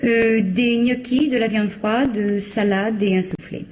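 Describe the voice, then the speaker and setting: A woman's voice: on the high side, fairly even intonation, takes it slowly, a little mellow, slight background noise. A woman playing a guarded, formal, evasive sister of a victim in a radio mystery drama.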